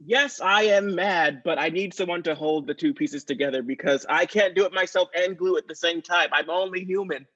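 A man puts on a tough crime boss with a high-set voice. He blusters meanly, then drifts earnestly into hobby talk. It is improvised radio comedy, with a dull, lo-fi sound. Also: moderate pace, few pauses, a bit bright, breathy edge. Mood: exasperated. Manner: blustering, easily sidetracked, earnest about hobbies. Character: tough mean male crime boss who adores birdhouses. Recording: dull lo-fi audio.

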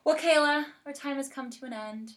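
A woman's voice: sits high, normal speed, a bit bright. A woman hosting a podcast, chatting casually with a guest in very informal speech full of "like". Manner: informal, heavy use of "like". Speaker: podcast host interviewing a guest. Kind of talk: casual chat.

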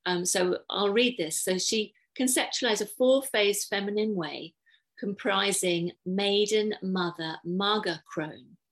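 A middle-aged woman, speaking thoughtfully and earnestly as an interview guest, with measured pauses. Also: medium pitch, rough recording. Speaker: podcast guest, therapist and coach.

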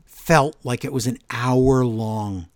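A man co-hosting a podcast, recapping wrestling shows in an animated, storytelling way and acting out what the wrestlers said. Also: mid-range voice, slow pace, a crowd in the background. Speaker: podcast co-host. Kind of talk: casual wrestling recap chat.

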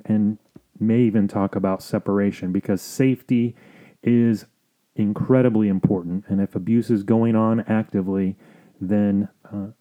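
American guy doing a counseling podcast monologue, really mellow dark tone, kinda low voice, explains stuff carefully. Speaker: podcast host and family counselor.

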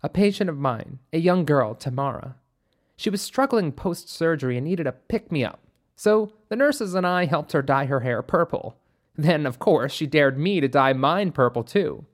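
Woman doctor, acted by a narrator, composed, observant, measured, precise, wryly playful, audiobook character. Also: low voice, lively intonation.

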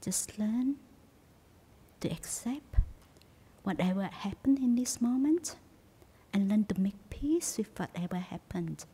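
Breathy, near-whispering woman guiding meditation. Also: medium pitch, lively intonation. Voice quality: soft, breathy, husky, often near whisper. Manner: gentle, soothing, unhurried instruction with long pauses. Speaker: meditation teacher. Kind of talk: guided meditation.

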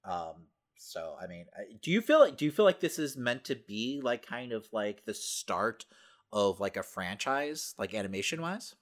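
A man's voice: on the low side, sing-song, normal speed. A middle-aged man co-hosting a podcast, chatting casually and asking questions. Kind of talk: casual interview-like chat.

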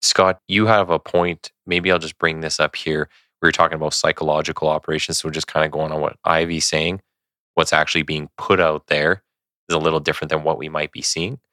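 A podcast host asks questions in an easygoing, casual way. His voice is extremely deep yet bright and forceful in tone, and it is recorded close and dry. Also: flat delivery, fairly quick, no room sound. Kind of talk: casual interview talk.

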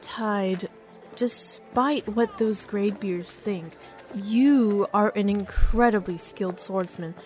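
A woman's voice: medium pitch, slow, darkish tone, faint music behind, close to an everyday voice. A woman voicing a cheerful female companion who explains things in a teasing, upbeat way.

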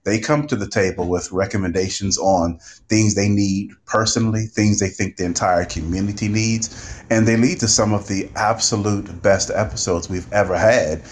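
Low-voiced, emphatic man on a panel. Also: steady tone, moderate pace, a little hiss or hum. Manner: emphatic, rhetorical repetition. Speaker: podcaster on a panel. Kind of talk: unscripted panel talk.

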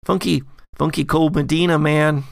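A man's voice: fairly high pitch, steady tone, moderate pace, vocal fry, no echo, clean studio sound. A man narrating a tabletop horror game as game master.

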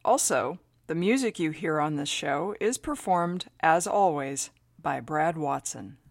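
A woman's voice: low voice, lively intonation, measured pace, no room sound, studio quality. A woman hosting an interview podcast, reading an upbeat scripted introduction and then chatting with her guest. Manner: enthusiastic, emphatic list delivery. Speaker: podcast host.

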